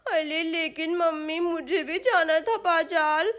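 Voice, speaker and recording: A woman's voice: very high voice, slow, fairly bright tone, exaggerated voice. A woman voicing a sulky, scheming little girl in a story, whiny, pouting and bossy. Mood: whiny, pleading.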